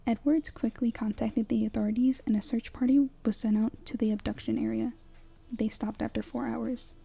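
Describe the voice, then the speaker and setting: A young woman narrating a scripted horror breakdown in a soft, breathy, hushed whisper, telling it in a measured way. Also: a little high, steady tone, faint music behind.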